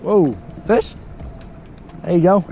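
A man's voice: sits high, slow pace. A young man on a fishing boat, chatting casually and calling out each fish he catches, recorded on a head-mounted action camera outdoors. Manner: upbeat, excited play-by-play of catches. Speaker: fishing vlogger. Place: small boat on a lake, outdoors. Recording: outdoor wind and water noise.

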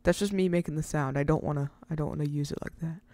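A casual, rambling speaker hosting an informal step-by-step software tutorial, recorded close to the mic as a voice-over. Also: medium pitch, steady tone, fast, no room sound. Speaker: tutorial host.

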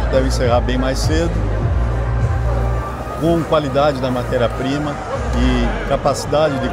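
Male presenter, measured and explanatory, busy hall with music in the background. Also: mid-range voice. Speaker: industry analyst presenting a harvest outlook. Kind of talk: conference presentation. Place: stage in a crowded exhibition hall.

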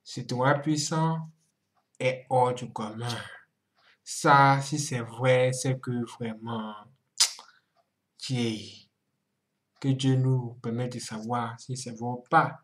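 A middle-aged man hosts a reaction video in a mid-pitched voice. He talks dramatically, breaking into exclamations and repeating his phrases for emphasis. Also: steady tone, takes it slowly, long pauses. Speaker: YouTube host. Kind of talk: animated reaction commentary.

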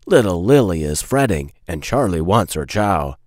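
Storybook lead dog played by a male reader: sensible, authoritative, reassuring, low voice. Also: big pitch swings, normal speed, a little mellow, no room sound, studio quality. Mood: firm, reassuring. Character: sensible, protective leader dog of the pack.

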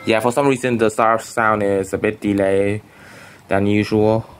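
A man's voice: on the low side, little pitch movement, normal speed, faint music behind. A young man whose first language is Vietnamese, casually rambling through a show-and-tell with many restarts and fillers. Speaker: phone collector vlogger. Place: bedroom at home.

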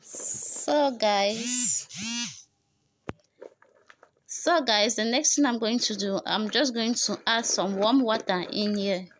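A woman's voice: fairly high pitch, slow pace, pauses often, slight background noise. Young woman, beauty vlog host, chatty and repetitive, phone recording, indoors at home. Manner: chatty, repeats herself, addresses viewers as guys. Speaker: beauty vlogger. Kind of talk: casual how-to vlog. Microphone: phone camera, no microphone.

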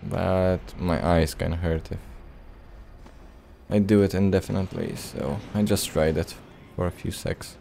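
A man's voice: sits low, fairly even intonation, measured pace, vocal fry, warm tone, slight background noise. A man giving casual, laid-back gameplay commentary in short phrases as he plays. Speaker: let's play host.

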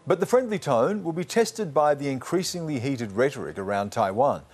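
A man's voice: mid-range voice, expressive, normal speed, darkish tone, slightly rough. Serious middle-aged male news anchor. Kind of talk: scripted news introduction. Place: studio.

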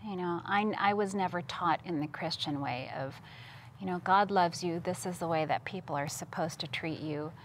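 A woman's voice: lowish voice, normal speed, a little hiss or hum. A woman in her thirties from Costa Rica, talking conversationally and earnestly as a studio interview guest. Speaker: interview guest, missions worker. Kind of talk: conversational testimony. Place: TV studio, seated at a table.

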